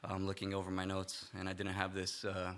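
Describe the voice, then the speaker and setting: A young man giving a church sermon, speaking in a halting way with many fillers and restarts. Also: low-pitched, monotone, a bit bright, smooth. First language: Romanian not first language. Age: young adult. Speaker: lay preacher.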